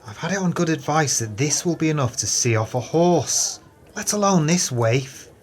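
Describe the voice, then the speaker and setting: Actor as a sly, wheedling crook's accomplice. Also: male voice, on the high side, expressive, normal speed, slight background noise, lightly acted. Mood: gleefully plotting. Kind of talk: audio play acting.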